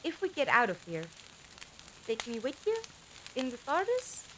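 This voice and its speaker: A woman acting in a fan audio drama as a bewildered, earnest, quick-witted companion who questions everything. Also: sits high, huge pitch swings, bright, forceful tone, a little hiss or hum, heavily acted. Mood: earnest, uneasy.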